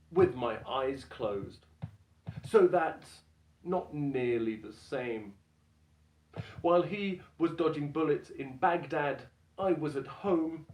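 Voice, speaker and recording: A man performing a stand-up routine as a dramatic reading, deadpan and self-deprecating, with deliberate pauses. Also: fairly high pitch. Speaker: stand-up comedian. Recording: roomy echo with faint background noise.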